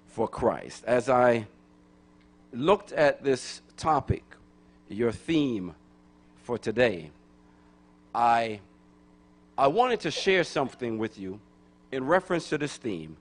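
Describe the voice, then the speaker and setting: A man's voice: mid-range voice, a bit bright. A middle-aged man from Brooklyn, New York, preaching a sermon into a handheld microphone in a church, repeating phrases and calling for answers from the congregation. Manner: call-and-response preaching, repeats phrases, rising to emphatic. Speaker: guest preacher.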